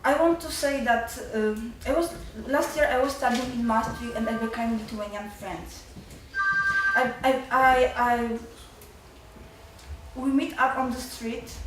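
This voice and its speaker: Nervous young Polish woman, high echoey voice. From Poland. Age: 20s. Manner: halting, repeats and breaks off words. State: nervous, stressed. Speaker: university student asking from the floor. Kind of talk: unscripted seminar remarks. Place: university seminar room. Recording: very echoey hall, distant, faint noise.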